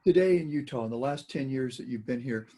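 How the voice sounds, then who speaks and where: An older man from the American South moderating a forum Q&A, relaying audience questions in a halting way. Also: mid-range voice, mellow, a little hiss or hum. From Southern United States.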